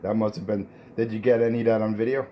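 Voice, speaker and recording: Deep-voiced man in casual radio chat. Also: flat delivery, quick pace, lo-fi sound, noisy background. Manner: says "man" often, affirming. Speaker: radio contact.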